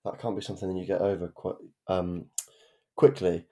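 A man's voice: sits low, fairly even intonation, fairly quick, dark tone. A man hosting a podcast, asking questions in a casual, empathetic way and swearing now and then. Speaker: podcast host. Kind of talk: casual interview chat.

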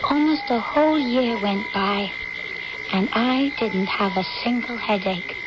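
An actress playing a devoted, needy young daughter in a radio drama, earnest, dreamy and intimate. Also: female voice, on the high side, a little slow, close to an everyday voice. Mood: wistful. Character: devoted, needy schoolgirl daughter narrating her memories. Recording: noisy, dull old-time radio recording.

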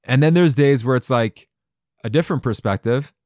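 A man's voice: mid-range voice, moderate pace, a little mellow, dry recording, studio quality. A man in his forties giving a folksy, encouraging sermon to men, with playful asides and chuckles. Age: 40s. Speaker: men's devotional speaker. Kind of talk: conversational podcast sermon.

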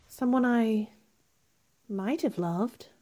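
A woman playing a proud, sharp-tongued young gentlewoman in a radio drama, with formal, cool, dramatic delivery. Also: a little high, very slow. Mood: wistful, guarded.

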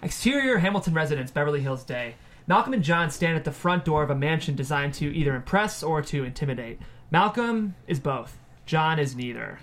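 A man's voice: a little high, animated, brisk, fairly bright tone. A man reading the stage directions as narrator of a screenplay table read.

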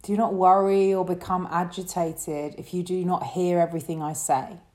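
A woman leading a guided relaxation meditation as a yoga teacher, speaking in a soothing, gentle way. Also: lowish voice, level tone. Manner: soothing, gentle, unhurried instruction.

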